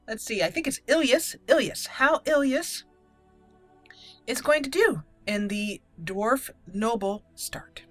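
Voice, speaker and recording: Female gaming host, chatty and informal, explains as she goes, soft music underneath. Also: a little high, no room sound. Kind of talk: casual game commentary.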